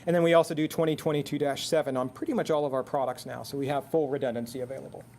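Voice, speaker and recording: A man's voice: mid-range voice, fairly even intonation, very fast, darkish tone, slight background noise. A man presenting a technical product at a seminar in a conversational, explanatory way. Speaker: product presenter for an intercom manufacturer.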